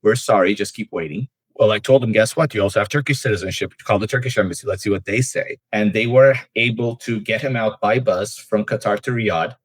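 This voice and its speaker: Low-voiced man telling stories as a guest. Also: a little fast, hardly any pauses, no echo, rough recording. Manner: storytelling with frequent "uh" fillers. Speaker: immigration attorney, podcast guest. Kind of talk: casual podcast interview.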